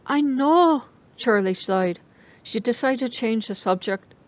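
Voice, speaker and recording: A woman's voice: medium pitch, a little slow, dull audio. A woman giving a scripted narration of a story as a dramatic reading.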